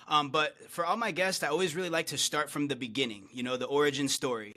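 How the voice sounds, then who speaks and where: Male podcast host from Florida, higher-pitched and forceful, upbeat and friendly. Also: fairly even intonation, quick pace. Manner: upbeat, friendly, says "man" a lot. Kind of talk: casual interview chat.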